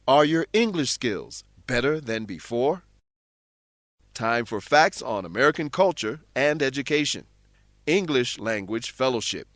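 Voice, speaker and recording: A man narrating a scripted English lesson in a deliberate, explanatory manner, like a teacher. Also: mid-range voice, fairly bright tone, no room sound.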